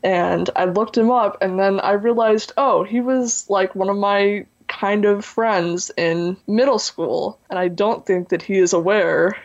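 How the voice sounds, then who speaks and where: A teenage boy who still has a high voice, talking earnestly as an interview guest and using lots of "you know" and "like". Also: measured pace, gravelly, few pauses, muffled recording. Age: teens. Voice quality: high voice for a man. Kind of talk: candid interview talk.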